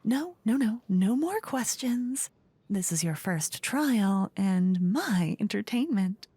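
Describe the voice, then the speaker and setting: A woman voicing a flippant, gleeful queen in a soft-spoken fantasy ASMR roleplay, playful and condescending in a theatrical way. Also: mid-range voice, expressive, slow, slightly rough, dry recording, pitched above the performer's own voice. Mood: playful, commanding. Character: gleeful, flippant queen presiding over her fantasy court.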